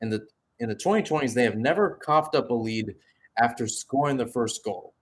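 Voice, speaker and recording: A man's voice: mid-range voice, lively intonation, fairly quick. Man calling live soccer play-by-play. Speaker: radio play-by-play commentator.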